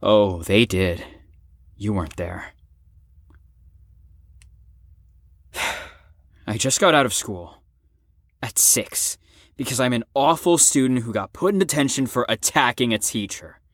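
A young man acting a troubled, self-loathing teenage ex-addict in an emotional audio drama monologue, halting and profane. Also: mid-range voice, expressive, dry recording, studio quality. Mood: bitter, hurt. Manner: emotional, halting, profane, swings between bitter and impassioned. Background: music under the voice.

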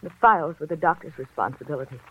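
An actress playing a cool, guarded doctor's nurse, clipped and formal in 1940s radio drama style. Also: female voice, low voice, fast, breathy edge. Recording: thin, phone-like vintage radio recording.

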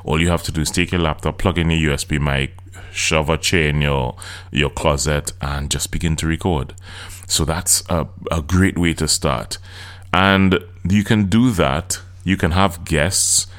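A middle-aged host with a Trinidadian accent talks straight to his listeners on his own podcast. His voice sits extremely low, and he explains things in an earnest, coaching manner. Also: measured pace, a bit bright. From Trinidad and Tobago. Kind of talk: solo podcast talk.

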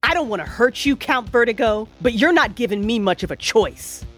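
A woman's voice: fairly high pitch, lively intonation, moderate pace, fairly bright tone, soft background music. A woman playing a tough, cocky superhero vigilante in an audio drama, with sassy, confident banter.

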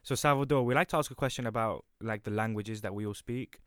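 Fast-talking young male podcast co-host. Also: fairly low pitch, smooth, no echo, clean studio sound. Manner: joking, quick interjections. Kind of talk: casual podcast chat.

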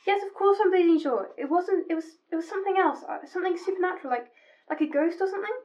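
Woman acting high-voiced station worker. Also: quick pace, darkish tone, lo-fi sound. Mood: shaken, insistent. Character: young female railway station worker, chatty and sceptical. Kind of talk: amateur audio drama acting. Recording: clear background noise and ambience.

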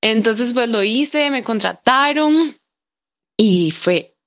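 A woman's voice: on the high side, lively intonation, slow pace. A young woman with a Costa Rican Spanish accent, an interview guest talking in a chatty, enthusiastic way, full of fillers and tag questions. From Costa Rica. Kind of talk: conversational interview.

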